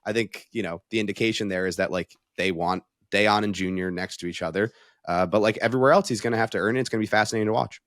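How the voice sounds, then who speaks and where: A man's voice: fairly low pitch, fast talker, fairly bright tone, no echo, studio quality. A man analysing football in a casual panel conversation, talking things through in an analytical, conversational way. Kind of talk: casual sports panel talk.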